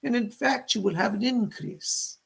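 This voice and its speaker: A gentle motherly female spirit acted out by a male medium speaks in a very creaky, husky voice. The delivery is soothing and effusive, full of genteel endearments, as in a séance. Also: very high-pitched, normal speed, full character voice. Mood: excited, welcoming.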